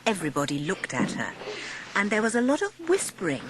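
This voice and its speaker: A woman's voice: medium pitch, wildly swooping pitch, brisk, noisy background. A woman narrating a scripted storybook for a dramatised audiobook. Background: music.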